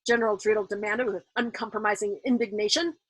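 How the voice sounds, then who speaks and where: A woman reading a novel aloud as a storyteller, in expressive narration. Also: medium pitch, fast, husky, no room sound, rough recording. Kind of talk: expressive audiobook-style narration.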